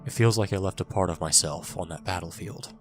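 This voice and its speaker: Audio drama casting: male actor as wounded young soldier narrating, very low voice, somber and wry, over music. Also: brisk, lightly acted. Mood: subdued. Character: bitter wounded young male soldier recounting his war.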